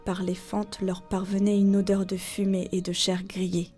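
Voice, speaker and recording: A woman's voice: mid-range voice, monotone, moderate pace, mellow, soft background music. A woman narrating a literary folk tale as a scripted storyteller.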